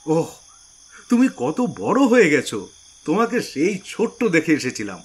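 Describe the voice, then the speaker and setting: Man playing a high, husky, jovial uncle. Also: huge pitch swings, a little slow, exaggerated voice. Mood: delighted, welcoming. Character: jovial, effusively welcoming uncle of about fifty.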